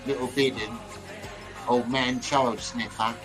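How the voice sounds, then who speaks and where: A man's voice: mid-range voice, fairly even intonation, takes it slowly, music in the background. A man from Manchester with a northern English accent mixed with London, chatting casually to live viewers in a blunt, slangy way and repeating his phrases. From Manchester, northern England, later lived in London. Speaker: livestream host chatting with viewers. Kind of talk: casual chat, reading and answering live comments.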